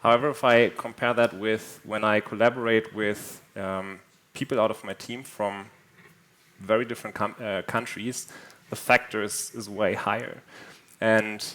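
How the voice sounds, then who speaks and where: Measured man on a panel. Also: lowish voice, fairly even intonation, a bit bright. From northern Germany. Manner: thoughtful, measured, frequent fillers and restarts. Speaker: panel speaker, engineering executive. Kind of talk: conference panel talk. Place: conference stage.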